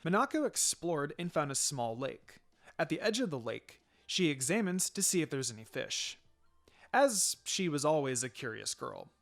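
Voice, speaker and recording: A man's voice: on the high side, sing-song, moderate pace, gravelly, a bit bright, faint music behind. A man reading fan fiction aloud as a dramatic story narrator.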